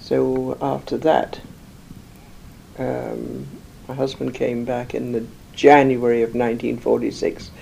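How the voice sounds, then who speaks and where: An older woman from England, slowly recalling her life in an interview, rambling with many pauses and fillers. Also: rumbling low, little pitch movement, gravelly, dark tone, slight background noise, rough recording. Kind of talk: oral history recollection.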